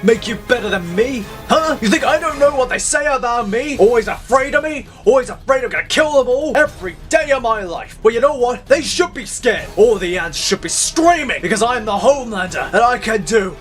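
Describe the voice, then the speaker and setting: A man performs an arrogant male superhero gone bad, high in pitch and full of petulant menace. He rants and sneers his way through a superhero audio drama, with music playing underneath. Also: animated, a little fast, hardly any pauses, fairly bright tone. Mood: enraged ranting. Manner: ranting, sneering.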